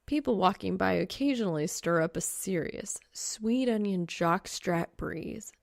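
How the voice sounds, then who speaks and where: A woman reading fan fiction as an audiobook narrator, expressive and wry. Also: mid-range voice, unhurried, gravelly, dry recording, clean studio sound.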